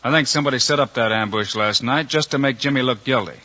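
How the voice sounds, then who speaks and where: Man acting as shrewd frontier lawman, American accent, firm and calm, bright tone, dull radio western recording. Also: medium pitch, fast talker, natural voice.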